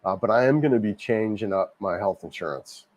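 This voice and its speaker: A man hosting a casual livestream, a little hoarse from a cold, rambling and repeating himself as he answers viewer comments. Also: lowish voice, a little fast, dull audio. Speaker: livestream host. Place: home in Cebu, Philippines.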